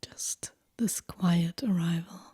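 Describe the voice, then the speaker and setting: Breathy, whispering woman guiding slow meditation. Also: lowish voice, no room sound. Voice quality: breathy, hushed, near-whisper. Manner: gentle, unhurried, soothing, with long pauses. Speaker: yoga nidra meditation guide. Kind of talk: guided relaxation meditation, soft and whispery.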